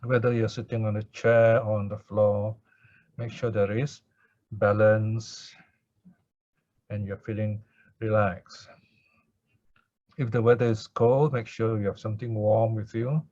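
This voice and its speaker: Male meditation guide for chant and instruction. Low, slow delivery, gentle, with pauses; close dry but muffled lo-fi sound. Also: flat delivery, no echo. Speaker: Buddhist meditation teacher. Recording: dull lo-fi recording.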